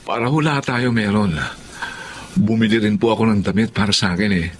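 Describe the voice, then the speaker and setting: A man's voice: on the low side, a little fast, a little hiss or hum, natural voice. An actor playing a kind, humble Filipino father figure in a radio drama. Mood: warm, cheerful.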